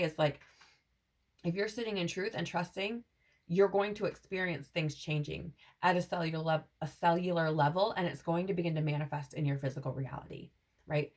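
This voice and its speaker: A woman giving a casual spiritual tarot reading to viewers, meandering and repeating "right?" as she channels messages, her throat a little scratchy. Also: fairly low pitch. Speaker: tarot reader.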